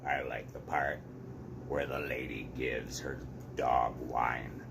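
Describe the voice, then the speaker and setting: A man's voice: a bass voice, fairly even intonation, slow pace, gravelly, noisy background, voice pushed down, exaggerated voice. A man voicing an anxious, grumpy, weary toad in a gloomy grumble, reading a storybook aloud.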